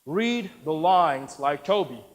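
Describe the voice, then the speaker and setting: Guy doing a bossy impatient director, curt and insistent, high voice, echoey hall. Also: expressive, unhurried, fairly bright tone. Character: overbearing, impatient male acting director demanding obedience. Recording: long reverberant hall.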